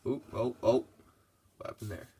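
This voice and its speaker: A man's voice: medium pitch, moderate pace, dark tone, husky. A congested young man giving a casual, laid-back math lesson over his worked problems. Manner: laid-back, loose and casual, with many fillers.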